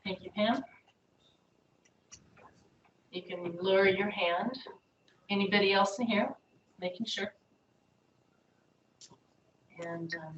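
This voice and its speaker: A woman chairing a council meeting briskly calls on the next speaker. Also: mid-range voice, fairly bright tone, far from the mic, slight background noise. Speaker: meeting chair taking comments. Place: council chamber. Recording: echoey room, voice off-mic.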